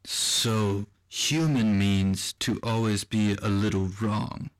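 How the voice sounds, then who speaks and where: A man playing a cold, condescending machine in a radio drama, speaking deliberately and with an air of superiority. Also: on the low side, fairly even intonation, clean tone. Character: cold, superior music-making machine with ambitions of control.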